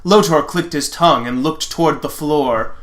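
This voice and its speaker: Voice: mid-range voice, normal speed, fairly bright tone. A sarcastic, exasperated reader narrating fan fiction dramatically and breaking off for mocking asides.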